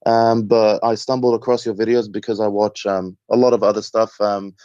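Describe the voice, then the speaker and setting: A man's voice: on the low side, little pitch movement, moderate pace, dark tone, clean tone. A man in his thirties from Egypt, speaking conversationally as a caller telling his own views and experience. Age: 30s. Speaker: caller to the show. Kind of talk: conversational call-in testimony. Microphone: remote call connection. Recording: muffled, echoey call-in line.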